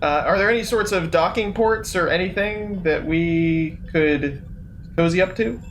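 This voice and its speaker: A man's voice: high-pitched, unhurried, a bit bright. A man playing a tabletop role-playing game, chatting casually and improvising in a joking, rambling way. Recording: faint background noise.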